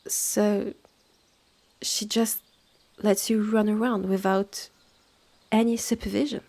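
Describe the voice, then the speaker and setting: A woman with a French accent playing a haughty, territorial witch's sister in a roleplay audio drama, talking in a measured, condescending way with breathy drops. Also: medium pitch, little pitch movement, darkish tone. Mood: scornful, amused. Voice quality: husky, breathy. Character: haughty, territorial witch's sister running a secretive woodland hotel.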